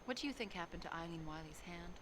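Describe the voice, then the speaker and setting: A woman's voice: lowish voice, lively intonation, quick pace, fairly bright tone, slight background noise. An actress playing an inquisitive, stubborn female investigator who presses with skeptical questions in a video game cutscene. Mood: skeptical, insistent. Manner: questioning, insistent. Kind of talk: dramatic dialogue acting.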